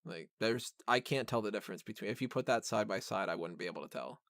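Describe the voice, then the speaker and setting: A man's voice: on the low side, fast talker, gravelly, a bit bright, no room sound, studio quality. A man co-hosting a film review podcast, chatting casually and enthusiastically about details.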